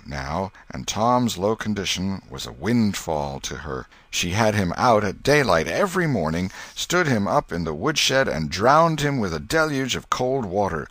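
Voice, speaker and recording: A man reads literary fiction as an audiobook narrator. His voice sits fairly low but moves widely in pitch, with expressive storytelling phrasing. The sound is dry and close, with very little room. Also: no echo.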